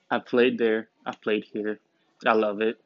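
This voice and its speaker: A man's voice: fairly low pitch, flat delivery, slow, no echo. A young man in his late teens from Romania, hosting a student podcast from the back room of a school in a rambling, joking, laid-back way. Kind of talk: casual rambling podcast monologue. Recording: dull, dry lo-fi recording.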